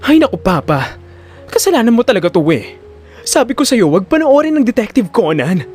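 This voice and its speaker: A man's voice: very high-pitched, big pitch swings, fairly quick, a little mellow, faint music behind, pitched above the performer's own voice. A man voicing a flamboyant, dramatic grown son in a comic audio drama, campy, whiny and full of complaint. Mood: whiny, exasperated.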